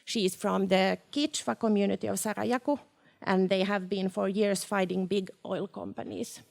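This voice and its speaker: A woman's voice: medium pitch, steady tone, moderate pace, vocal fry, a little mellow. A woman giving a prepared welcome as host of a public discussion. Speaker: event host and project manager. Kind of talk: prepared welcome speech to an audience.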